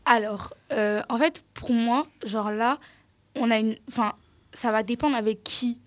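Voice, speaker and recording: A teenage girl talking casually and bluntly, her voice often dropping quietly at the ends of phrases. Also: a little high, unhurried, no room sound. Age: teens. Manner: blunt, outspoken, trailing off quietly. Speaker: teen guest. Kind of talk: casual discussion.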